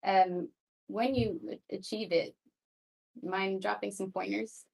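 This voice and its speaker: A woman's voice: medium pitch, fairly even intonation, slow pace, vocal fry, a little mellow. A woman playing in a tabletop roleplay game, chatting casually. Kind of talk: casual online roleplay chat.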